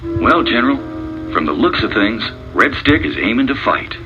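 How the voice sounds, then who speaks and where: An actor playing a plain-spoken frontier hero with a folksy drawl. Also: male voice, medium pitch, big pitch swings, moderate pace, highs cut off. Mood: steady. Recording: voice over loud music. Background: loud background music.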